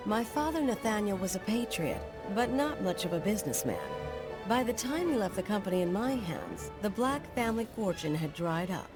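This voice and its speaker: A woman's voice: medium pitch, lightly acted. Woman acting a reflective narrator over music. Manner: measured, storytelling. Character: reflective frontier woman narrating her family saga. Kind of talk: scripted narration for game cutscenes. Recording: music behind the voice.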